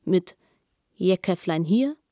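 Mellow female narrator, formal and questioning. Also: fairly low pitch, expressive, takes it slowly, dry recording, clean studio sound. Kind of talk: dramatised literary narration.

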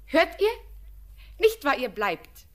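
Tender young village girl, high-voiced. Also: big pitch swings, takes it slowly, fairly bright tone, heavily acted. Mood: gentle, pleading. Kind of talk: classic German radio drama, poetic stage diction.

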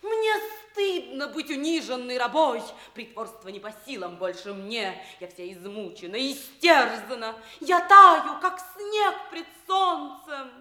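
An actress playing a proud, tormented, scheming young noblewoman held as a slave, declaimed in theatrical radio play style with dramatic pauses. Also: sits high, lively intonation, heavily acted. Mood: anguished. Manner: declamatory, dramatic pauses, swelling from hushed to fierce. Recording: roomy, echoing radio theatre recording.

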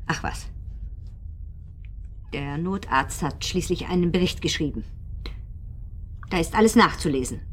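A woman's voice: low voice, measured pace, long pauses, a little hiss or hum. A woman acting in a radio drama as a haughty, scornful wife under accusation, speaking in formal, condescending phrases. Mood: coolly defiant.

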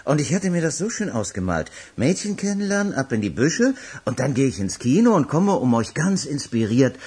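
A man's voice: mid-range voice, very animated, brisk, darkish tone, breathy edge. An actor in a radio crime drama playing a cocky womanizer with a wry, bantering, boastful way of talking. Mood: cocky, amused. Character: cocky, joking womanizer, boastful buddy in a wager.

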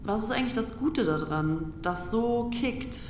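A woman's voice: a little high, animated, a little slow, a little mellow. A woman speaking casually and colloquially, as if putting questions to others. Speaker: participant in an audio theatre piece. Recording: roomy, faint noise.

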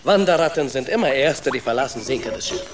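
A man's voice: mid-range voice, expressive, a little fast, reverberant, music in the background, close to an everyday voice. A man playing a boastful, carefree travelling rat in a children's radio play, with an Italian accent and theatrical storytelling in broken syntax. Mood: gruff, proud.

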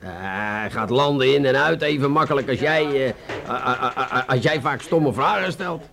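A sardonic police inspector in a Netherlands Dutch radio drama. His voice is mid-pitched and creaky. He lectures in a weary, patronising way, taking his time and sometimes stumbling over a word on purpose. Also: a bit bright. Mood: sardonic, exasperated. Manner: patronising, drawn-out deliberation with stammered hesitations. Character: world-weary, condescending male police inspector, unhurried and sardonic. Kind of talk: Dutch radio play acting.

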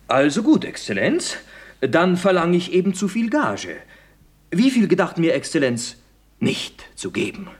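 A man's voice: sits high, big pitch swings, a little slow, slightly rough, exaggerated voice. A man playing a wily, effusively polite actor in a comic German radio play, speaking in a florid, mock-deferential way. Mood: scheming, polite. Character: wily, effusively polite male actor scheming around a theatre director.

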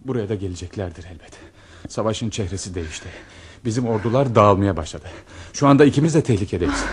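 A deep-voiced, slightly rough officer in a radio romance. He speaks with ardent, earnest intensity, declaiming his devotion. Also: expressive, quick pace. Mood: grave, urgent. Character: lovestruck, intense male officer in a wartime romance. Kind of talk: radio theater acting.

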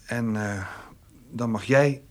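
A man's voice: medium pitch, slow pace, a little mellow, close to an everyday voice. An actor playing a shy, awkward country man in a naturalistic radio drama, speaking hesitantly and in a roundabout way. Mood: sheepish.

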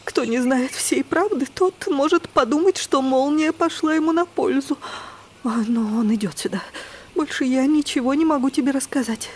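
An actress playing an anxious, flustered aunt in an audio drama, fretting with sighs and exclamations. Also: female voice, high-pitched, moderate pace, a little mellow, a little hiss or hum, close to an everyday voice. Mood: anxious, secretive. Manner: breathless sighs, fretful exclamations.

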